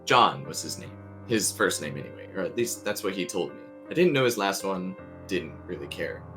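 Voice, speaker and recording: A man's voice: low voice, expressive, normal speed. A man reading a creepy story aloud as its narrator, with music playing underneath. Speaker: story reader.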